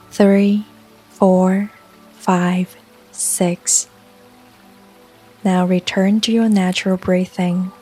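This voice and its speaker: A woman narrating a scripted guided meditation in a calm, soothing, unhurried way, with long pauses between phrases. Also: mid-range voice. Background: soft music and rain sounds.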